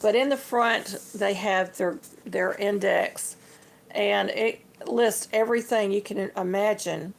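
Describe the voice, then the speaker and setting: Chatty woman with a gravelly voice. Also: mid-range voice, fairly even intonation, slow pace. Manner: chatty, homey, addresses viewers as y'all. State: slightly hoarse. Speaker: livestream host. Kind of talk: casual livestream show-and-tell.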